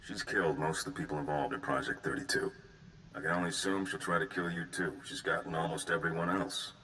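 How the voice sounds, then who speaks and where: A man's voice: very deep voice, fairly even intonation, fast talker, bright, forceful tone, slight background noise, low-quality recording. A man voicing a businesslike intelligence agent in a video game, formal and clipped, with an urgent manner. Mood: brusque, grave. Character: brisk, businesslike male intelligence agent giving warnings.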